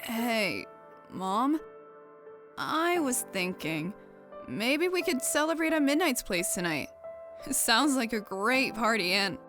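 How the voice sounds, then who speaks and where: A woman's voice: on the high side, sing-song, takes it slowly, over music. A woman playing a headstrong, sulky young pegasus daughter in a fantasy audio drama. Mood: hesitant. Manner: petulant, grumbling.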